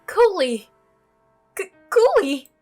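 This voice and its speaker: A woman's voice: extremely high pitch, very animated, slow, warm tone, soft background music, pitched above the performer's own voice, heavily acted. A woman playing an alarmed, disgusted visual novel protagonist, exclaiming with indignation. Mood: alarmed, indignant.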